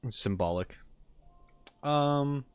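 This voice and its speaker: A man doing casual live reaction commentary as a game streamer, musing and haltingly thinking aloud. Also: mid-range voice, animated, clean tone. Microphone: close streaming mic.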